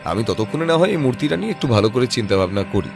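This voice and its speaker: An actor playing a composed, analytical private detective in an audio drama, reasoning aloud in a measured way. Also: male voice, medium pitch, big pitch swings, gravelly, over music. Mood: thoughtful.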